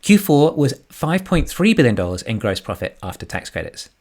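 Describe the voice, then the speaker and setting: Man narrating with lively, swinging intonation. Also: medium pitch, moderate pace. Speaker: YouTube stock analyst. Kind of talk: scripted narration, financial commentary.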